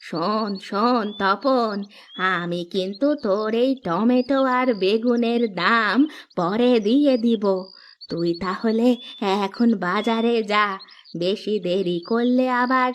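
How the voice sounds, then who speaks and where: A woman playing a kindly, affectionate old village granny in an expressive Bengali cartoon dub. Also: mid-range voice, slow, slight background noise, natural voice. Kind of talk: Bengali moral-story cartoon dubbing. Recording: dull lo-fi track with music.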